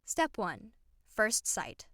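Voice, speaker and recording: A woman's voice: medium pitch, wildly swooping pitch, drawn-out words, bright, forceful tone. A woman reading scripted second-person story narration as the narrator of a visual novel. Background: music.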